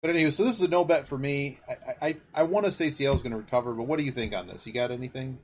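A man's voice: mid-range voice, very fast, background noise. A man hosting a casual sports betting chat, talking in a rambling, analytical way as he thinks aloud. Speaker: podcast host.

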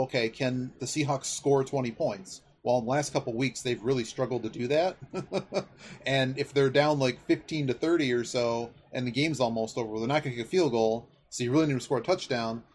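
A man's voice: medium pitch, brisk, a little mellow, slight background noise. A man hosting a casual sports betting chat, talking in a rambling, analytical way as he thinks aloud. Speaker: podcast host.

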